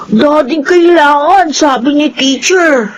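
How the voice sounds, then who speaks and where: A woman's voice: sits high, takes it slowly, dull audio. A female performer with a Filipino accent playing a sweet, inquisitive, whiny young schoolchild in a drama. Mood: sweet, pleading.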